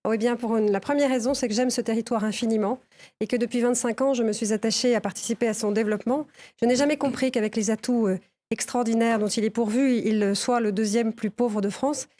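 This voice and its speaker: Woman candidate in a tv debate, mellow voice, earnest with little pauses. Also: a little high, fairly even intonation. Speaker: election candidate. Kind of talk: debate statement.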